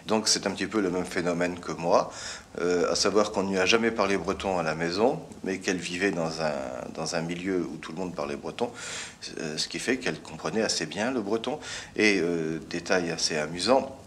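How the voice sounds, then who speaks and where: A man from Brittany answering interview questions hesitantly, pausing often. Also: low voice, a little hiss or hum. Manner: hesitant, many pauses and fillers.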